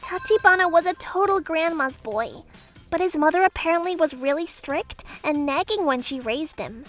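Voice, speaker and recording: Anxious newlywed manga heroine, played by a woman: bright, very high, expressive, timid. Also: normal speed, soft background music. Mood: narrating, mildly troubled. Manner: expressive, flustered. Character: anxious, conflict-shy young newlywed wife. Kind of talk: manga dub first-person narration and dialogue.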